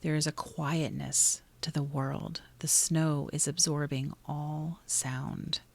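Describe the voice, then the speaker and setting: A woman narrating a guided meditation in a soothing, gently instructive way, pausing between short phrases. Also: low-pitched, little pitch movement, vocal fry.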